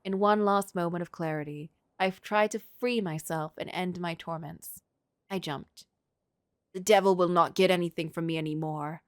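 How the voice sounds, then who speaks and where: A woman's voice: fairly low pitch, steady tone, moderate pace, no room sound, clean studio sound, close to an everyday voice. A woman voicing a tormented, self-loathing middle-aged male writer in a brooding, melodramatic literary game monologue. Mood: resigned despair. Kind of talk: dramatic first-person reading of game story.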